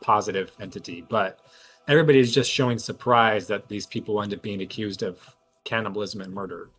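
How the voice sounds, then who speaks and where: A man's voice: lowish voice, brisk, a bit bright, husky, faint music behind. A man talking casually and analytically as he works through a mystery at length as a tabletop game player. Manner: analytical, reasons aloud at length.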